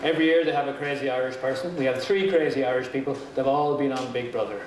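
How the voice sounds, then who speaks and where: A man telling a comic anecdote in an animated way, acting out the dialogue. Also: mid-range voice, quick pace. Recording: very echoey, distant, heavy noise.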